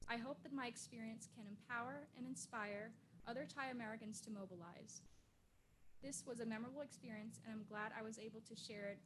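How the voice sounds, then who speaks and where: A young woman, a program intern, reading a prepared speech in a slow, careful and formal way. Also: a little high. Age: young adult. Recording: audible background noise.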